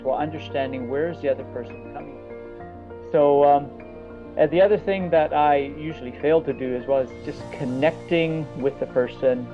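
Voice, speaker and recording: A middle-aged man, a coach, giving a personal testimonial in a halting, reflective way with pauses mid-thought. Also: medium pitch. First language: English. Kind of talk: personal testimonial talk to an audience. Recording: slightly dull audio with music underneath. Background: music.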